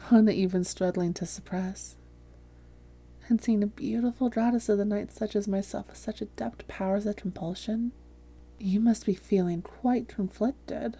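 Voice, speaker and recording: A haughty, seductive vampire woman, acted by a woman, speaks in a very dark, soft voice full of breath and fry. She often sinks into a whisper, slowly coaxing and teasing with smug superiority, in the style of an intimate hypnosis roleplay. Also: mid-range voice. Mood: probing, teasing. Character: haughty, seductive female vampire hypnotist toying with a captured hunter.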